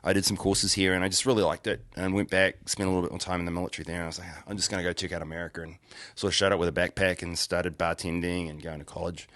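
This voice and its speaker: Kiwi guy chatting on a podcast, low voice, dry close sound, easygoing. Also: flat delivery, rapid-fire, fairly bright tone, no echo. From New Zealand. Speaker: guest, firearms instructor. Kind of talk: casual podcast chat.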